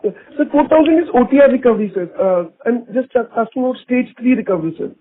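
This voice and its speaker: Very high-pitched, quick man on phone. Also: animated. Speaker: analyst asking questions. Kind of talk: earnings call questioning. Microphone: phone line. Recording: tinny phone conference line.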